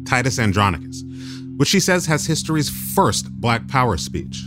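A man's voice: mid-range voice, big pitch swings, moderate pace, music in the background. A man hosting a radio program, moving between narration and conversation. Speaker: radio host.

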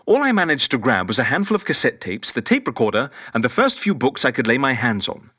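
A man's voice: medium pitch, very animated, quick pace, bright, forceful tone, breathy edge, heavily acted. An actor playing a lonely, eccentric male detective who rambles fussily and whimsically into an audio diary in an audio drama. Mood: rueful. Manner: fussy, whimsical, wistful, digressive. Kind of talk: audio drama monologue as spoken journal.

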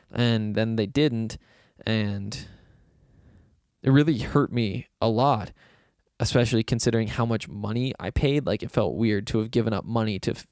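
Young male podcast host, slightly raspy, meandering casual chat, dead-dry close mic. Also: lowish voice, darkish tone, no room sound. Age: young adult. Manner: loose, self-deprecating, meandering with fillers. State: hoarse from yelling.

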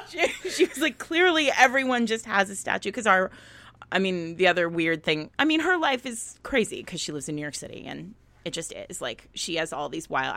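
A woman in middle age hosts a casual chat in a fairly low voice with a bright, forward edge. The sound is dry and close. She tells anecdotes in an animated way, slipping into reenacted dialogue. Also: no room sound. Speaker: podcast host. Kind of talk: casual conversational storytelling.

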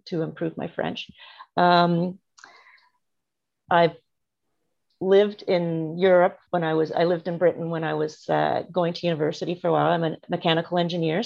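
A middle-aged woman chats as a guest, friendly and rambling, laughing easily. Her voice sits low with a gravelly fry, and the remote call audio comes through dull and lo-fi. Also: a little mellow. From Canada. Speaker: guest, marketing strategist. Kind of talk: casual interview chat. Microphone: remote video call.

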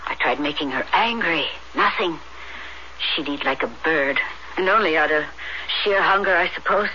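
A fretful middle-aged mother, confides earnestly in a piercingly bright voice. She is theatrical and resigned, on a muffled old radio drama recording with faint noise. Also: sits low, normal speed. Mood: worried, frank.

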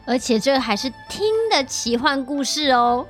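A woman's voice: sits high, steady tone, moderate pace, fairly bright tone, soft background music. A woman with a Taiwanese Mandarin accent asks questions in a casual, curious, teasing chat as a guest podcaster.

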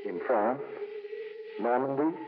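Worldly, irreligious man, halting and moved, swinging intonation, thin vintage radio sound. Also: medium pitch, animated, over music. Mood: awkward, moved. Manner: halting, repeats himself. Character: hesitant, awkward, moved worldly man, not religious. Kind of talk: 1950s radio drama acting. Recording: narrow-band 1950s radio broadcast.

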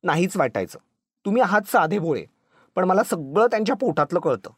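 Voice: mid-range voice, lively intonation, fairly quick, darkish tone, no echo, clean studio sound, lightly acted. A narrator voicing a touchy, dramatic young village wife who talks in an emotional, scolding, plaintive way. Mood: scornful.

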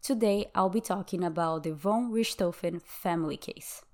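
A woman from Brazil whose first language is Portuguese hosts her podcast in English. She sounds young, and her voice sits a bit low. She talks in a chatty, easygoing way and laughs often. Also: normal speed. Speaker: podcast host. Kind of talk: casual podcast chat.

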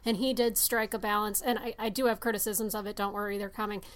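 A woman's voice: mid-range voice, fairly even intonation, fast talker. A woman co-hosting a podcast, discussing film casually and emphatically, with offhand swearing. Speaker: podcast co-host.

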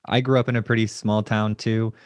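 A man's voice: on the low side, little pitch movement, brisk, a little mellow, no echo, studio quality. A man hosting a podcast, opening the show and chatting casually with an upbeat manner. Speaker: podcast host. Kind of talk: podcast interview.

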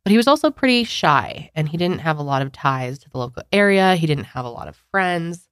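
A woman's voice: deep voice, animated, a little fast, a bit bright, smooth, dry recording, studio quality. Nasally young woman with a scratchy throat. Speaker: podcast co-host. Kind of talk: casual chat and narration.

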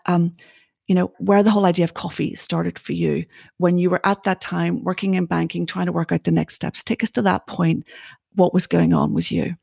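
Gravelly low-voiced woman host. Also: no room sound, clean studio sound. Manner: warm effusive interjections. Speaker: interviewer, host. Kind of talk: interview questions.

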